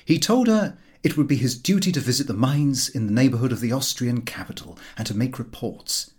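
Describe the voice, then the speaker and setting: A man narrating a humorous period novel as an expressive audiobook reading. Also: mid-range voice, brisk, slightly rough.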